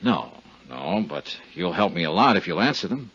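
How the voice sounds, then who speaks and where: Persistent, courteous radio investigator of the 1950s: husky, animated delivery, cool temper, lo-fi vintage sound. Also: male voice, low voice, normal speed, heavily acted. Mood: firm, probing. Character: cool, persistent, polite male insurance investigator. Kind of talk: 1950s radio detective acting and first-person narration. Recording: dull 1950s radio recording.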